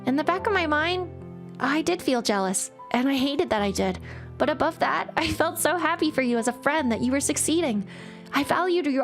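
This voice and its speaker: A woman voicing a bubbly, caring young woman friend who talks in a bright, insistent way. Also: sits high, pitched above the performer's own voice. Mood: tender.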